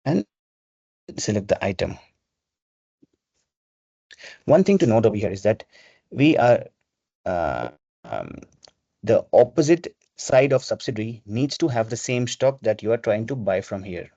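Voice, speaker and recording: A man presenting a webinar, explaining software step by step in an instructional way over a video call. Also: on the low side, sing-song, measured pace, pauses often, dry recording. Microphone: video call microphone. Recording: dull, lo-fi video call audio.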